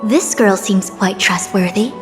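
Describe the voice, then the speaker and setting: An actress playing a composed, formal, self-assured woman seer in a video game, measured and authoritative. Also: mid-range voice, close to an everyday voice. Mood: skeptical. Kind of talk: English video game voice acting. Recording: reverberant, with music underneath.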